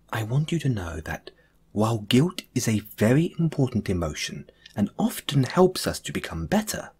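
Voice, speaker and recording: A man speaking in a soft, breathy, sometimes whispered voice, gently reassuring the listener in an intimate comfort roleplay monologue. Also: lowish voice. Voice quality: breathy, hushed, at times whispering. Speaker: audio roleplay performer.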